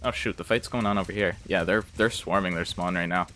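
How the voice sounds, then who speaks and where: A man's voice: sits low, fairly quick, bright, forceful tone, a little hiss or hum. A man in his twenties chatting casually on a live stream, reading out chat questions and answering in slangy, profane banter. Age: 20s. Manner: slangy, reads chat aloud, swears and laughs often. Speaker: game developer streamer.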